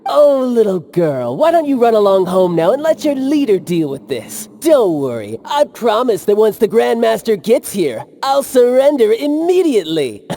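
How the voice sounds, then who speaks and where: Cocky bandit from a video game, mocking and condescending, swoopy mellow voice. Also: male voice, high-pitched, very animated, unhurried, full character voice. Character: smug, taunting male bandit thief.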